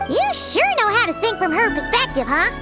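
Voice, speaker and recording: A woman voicing a tiny, excitable childlike fairy companion in a video game, blunt and squeaky. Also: moderate pace, exaggerated voice. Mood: surprised, teasing. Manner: exclamatory, speaks of self in third person. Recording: prominent game music behind.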